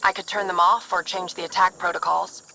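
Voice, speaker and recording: Young tech-savvy sister, noisy game backdrop. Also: female voice, lowish voice, flat delivery, brisk, creaky, pushed and bright. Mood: focused, helpful. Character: young tech-savvy turian sister, eager, uneasy with violence. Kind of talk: video game voice acting.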